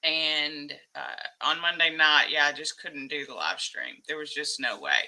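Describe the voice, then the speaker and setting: A woman hosting a casual live stream, a little hoarse from losing her voice, rambling and laughing often as she greets her chat. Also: low voice, unhurried, rough recording. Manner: excitable, reading chat names aloud.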